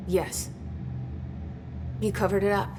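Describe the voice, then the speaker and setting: An actress playing a determined young heroine in a video game, earnest and guarded, talking in terse phrases. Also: female voice, medium pitch, level tone, slow, noisy background, lightly acted. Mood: guarded, pained. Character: determined, guarded young woman searching for her brother. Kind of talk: video game cutscene acting.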